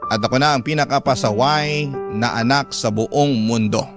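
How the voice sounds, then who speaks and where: A man's voice: medium pitch, normal speed. A man with a Filipino accent reading a personal story aloud as a radio storyteller. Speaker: radio show host reading a listener's letter. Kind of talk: storytelling narration. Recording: music under the voice. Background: background music.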